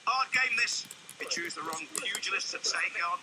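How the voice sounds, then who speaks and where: A man's voice: high-pitched, moderate pace, heavy background noise. A man doing cheeky, wisecracking voice-over commentary on game show footage, mock-serious and joking. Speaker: comedy commentator.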